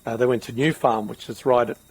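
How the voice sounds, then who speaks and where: A middle-aged man hosting a relaxed interview. His voice is gravelly and a little husky, and he thinks aloud, circling his point with fillers. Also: mid-range voice, quick pace, rough recording. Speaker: podcast host. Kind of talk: casual interview.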